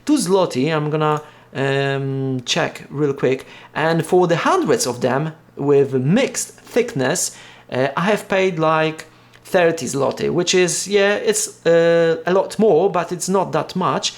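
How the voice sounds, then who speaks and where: A man from Poland with a Polish accent and Polish as his first language, talking casually in an unboxing vlog, halting with many fillers and restarts. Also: fairly high pitch. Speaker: vlogger.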